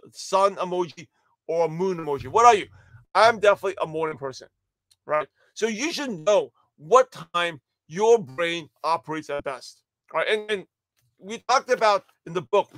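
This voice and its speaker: Upbeat man in his 40s, high-pitched, slightly raspy, live pep-talk host, dry close sound. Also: a bit bright, dry recording. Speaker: livestream host and network marketing coach. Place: home studio office with shelves.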